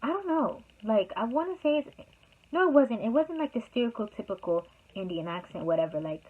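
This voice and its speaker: A woman's voice: on the high side, big pitch swings, brisk. Rambling young woman, tinny recording. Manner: rambling, chatty, full of "like" fillers. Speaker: vlogger. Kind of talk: casual storytime. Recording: tinny phone-like sound.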